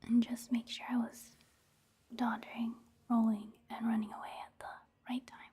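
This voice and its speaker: Casting: female ASMR game commentator, whispery and breathy, soft mellow tone, slightly high register, hushed halting delivery. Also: flat delivery. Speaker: gaming ASMR creator.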